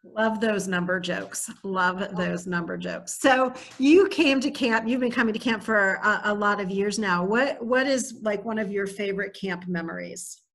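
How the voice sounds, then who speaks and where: A woman's voice: medium pitch, moderate pace, hardly any pauses, a bit bright. A woman hosting a camp interview over a video call, enthusiastic and encouraging with her guest. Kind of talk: upbeat interview host.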